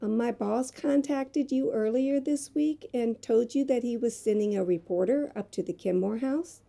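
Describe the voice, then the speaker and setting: An actress playing a determined, independent magazine reporter in an audio drama, earnest, polite and resolute. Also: female voice, a little high, moderate pace, creaky, dark tone.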